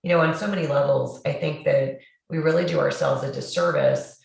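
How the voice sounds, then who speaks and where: Hoarse, gravelly middle-aged woman host, recorded from a distance in a very echoey room. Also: low-pitched, steady tone, a little fast, a little mellow. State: hoarse from a cold. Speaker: podcast host. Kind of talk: podcast interview.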